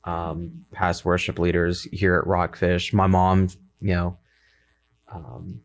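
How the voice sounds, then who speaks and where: A man in his twenties, a worship leader and college student, chatting casually on a podcast, relaxed and rambling with many fillers. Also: low voice, slow pace, slight background noise. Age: 20s.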